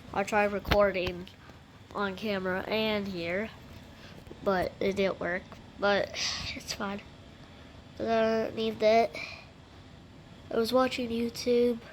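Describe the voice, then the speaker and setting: A casual, rambling amateur vlogger speaking informally inside a house, with the voice of a woman or youngster as heard. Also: medium pitch. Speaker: amateur video creator. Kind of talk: casual handheld vlog with improvised skit. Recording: noisy amateur home recording.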